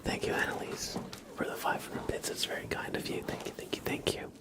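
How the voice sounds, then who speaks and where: A man hosting an ASMR stream, speaking in hushed, drawn-out phrases as he tells slow, improvised stories. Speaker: ASMR livestream host.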